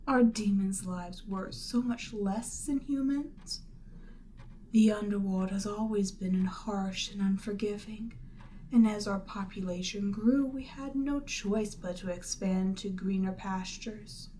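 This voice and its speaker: A woman voicing a seductive, imperious demon queen in an audio roleplay, speaking deliberately and theatrically, with breathy near-whispered phrases. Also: mid-range voice, mellow, a little hiss or hum, natural voice. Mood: bitter, indignant. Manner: slow, deliberate, theatrical, persuasive. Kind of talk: roleplay audio drama, ASMR monologue.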